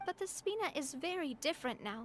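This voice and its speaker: A woman's voice: very high-pitched, animated, rapid-fire, a little hiss or hum. An actress playing a confident, upbeat young woman who leads her own group and speaks encouragingly. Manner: bright, encouraging. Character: confident young female boss, upbeat leader.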